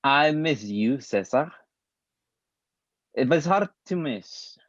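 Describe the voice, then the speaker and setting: A man reading the part of a calm male acting teacher at a play table read, speaking in a deliberate, lecturing way. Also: medium pitch, no room sound, highs cut off.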